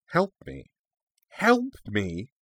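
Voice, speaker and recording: A man's voice: lowish voice, very animated, moderate pace, a bit bright, no echo. A man voicing a sassy young female dragon in a story, with a sarcastic, snappy, defiant manner. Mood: outraged. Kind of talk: expressive storybook character reading.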